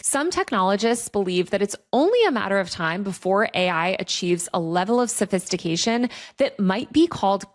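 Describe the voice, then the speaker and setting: A woman presenting a scripted video essay in a conversational, wry, opinionated way, with casual asides. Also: mid-range voice. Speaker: video essayist, online culture commentator.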